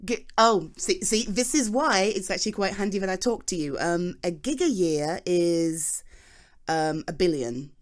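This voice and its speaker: Woman acting as a british geology nerd girl, lowish voice, rambly and giggly, explaining stuff to someone close, roleplay audio. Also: unhurried, close to an everyday voice. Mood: enthusiastic, explaining. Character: chatty, self-deprecating geology nerd woman, fond and flustered. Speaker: ASMR roleplay voice performer. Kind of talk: intimate conversational audio roleplay.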